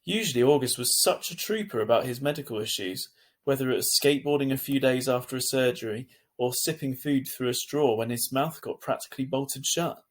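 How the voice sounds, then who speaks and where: A man narrates a children's novel aloud in the way of a teacher reading to pupils. His voice sits in a middle register and has a husky grain. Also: mid-range voice. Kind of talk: scripted storybook narration.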